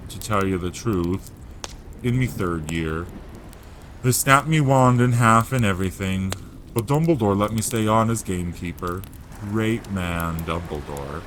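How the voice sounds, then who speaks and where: A man voicing a gruff, warm-hearted giant gamekeeper, blustery and loyal, in growling rustic dialect for a storybook audio drama. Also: on the low side, noisy background, close to an everyday voice. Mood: rueful.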